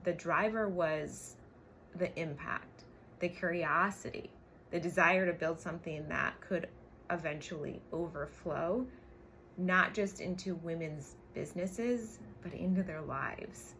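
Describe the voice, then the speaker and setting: A woman's voice: lowish voice, measured pace, a bit bright, slight background noise. A woman in her forties talking candidly and emphatically in a personal storytelling vlog, like a coach speaking to her followers. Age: 40s. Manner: candid, emphatic, frequent tag questions and swearing. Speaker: business coach.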